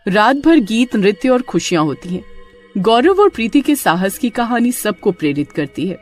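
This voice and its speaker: A woman narrates a scripted tale with dramatic delivery. Her mid-range voice moves in lively swings of pitch. Soft music plays behind her. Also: normal speed. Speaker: story narrator. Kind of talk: scripted Hindi story narration.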